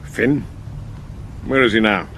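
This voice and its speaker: An actor playing a sardonic, clipped, commanding Victorian police inspector with an English accent in a radio drama. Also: male voice, on the low side, slow pace, fairly bright tone. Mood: brisk, sardonic. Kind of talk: 1970s radio drama acting. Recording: slightly noisy vintage radio recording.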